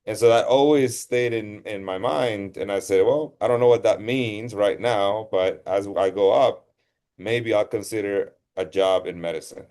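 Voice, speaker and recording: A middle-aged man from Costa Rica speaks in a fairly low voice. He answers interview questions in a relaxed, rambling way, often correcting himself mid-sentence. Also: moderate pace. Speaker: guest, surgeon. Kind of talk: casual interview storytelling.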